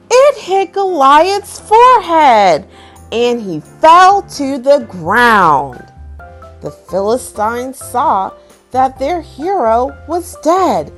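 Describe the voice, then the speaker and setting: A woman in her thirties hosting bedtime stories for children, with exaggerated, deliberate phrasing as she reads to young listeners. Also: fairly high pitch. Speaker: host of a children's bedtime story series. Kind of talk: children's read-aloud, storytelling to young listeners. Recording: music behind the voice. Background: music.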